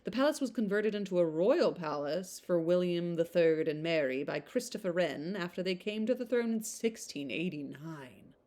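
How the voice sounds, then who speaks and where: A woman with a slightly low-pitched voice reads aloud as a podcast host. Her delivery is informal audiobook style, mixed with chatty, rambling asides addressed to the listener. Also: a little mellow. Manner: conversational, rambling off on tangents in asides. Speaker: podcast host reading a classic novel aloud.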